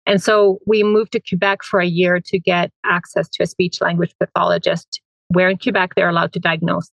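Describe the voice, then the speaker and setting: A middle-aged woman, a foundation founder, speaking in an interview and explaining at length with frequent pauses and "um"s. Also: on the low side, vocal fry, no room sound. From Finland. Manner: conversational, many "um"s, steady explaining. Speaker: foundation president and founder, guest. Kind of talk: interview conversation.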